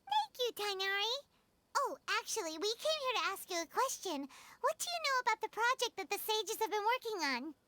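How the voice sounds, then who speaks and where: A woman's voice: very high voice, sing-song, brisk, pushed and bright, no echo, full character voice. A woman voicing a small childlike fairy companion in an anime video game, earnest, curious and given to exclaiming. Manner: talks of herself in third person, exclaiming.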